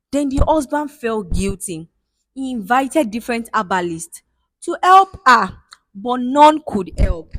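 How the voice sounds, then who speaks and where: A woman's voice: mid-range voice, no room sound. A woman with a Nigerian accent narrating a folktale in a plain retelling style, now and then repeating herself. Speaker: storyteller.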